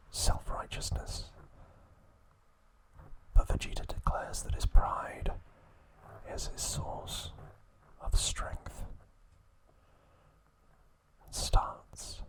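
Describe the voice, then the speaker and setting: A man whispering a calm ASMR recap, narrating softly with occasional fillers and self-corrections. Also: low-quality recording. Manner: soft, slow, unvoiced whispering close to the mic. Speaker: ASMR channel host.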